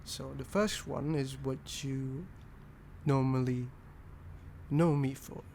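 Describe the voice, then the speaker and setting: A young man from Indonesia with an altered British accent, talking softly and rambling in a boyfriend ASMR roleplay. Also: medium pitch, fairly even intonation, slow pace, vocal fry, a little hiss or hum. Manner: soft, rambling, self-deprecating.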